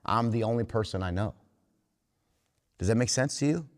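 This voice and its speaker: A man's voice: lowish voice, normal speed. A man giving a candid, plain-spoken talk to a recovery group, driving home his points by repeating and rephrasing them. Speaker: workshop speaker at a recovery meeting. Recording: reverberant hall.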